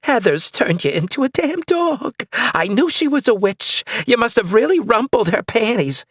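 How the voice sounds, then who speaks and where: A man's voice: extremely high pitch, very animated, normal speed, fairly bright tone, dry recording, studio quality, voice pushed up, heavily acted. A man voicing a foul-mouthed, suspicious old woman neighbor who curses and mutters. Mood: cranky cursing.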